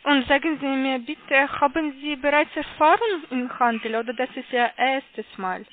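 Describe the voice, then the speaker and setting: A woman with a foreign accent, not a native German speaker, making a halting sales call as an agent over the phone. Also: high voice, dry recording. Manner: halting, hesitant, often checking with "ja". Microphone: telephone. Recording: muffled narrow phone line.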